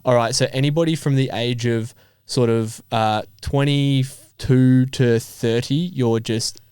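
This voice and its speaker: An Australian man in his twenties in casual podcast conversation, informal and a little halting as he thinks aloud. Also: mid-range voice, steady tone, a little mellow, clean tone, no echo, clean studio sound. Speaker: podcast host and elite athlete.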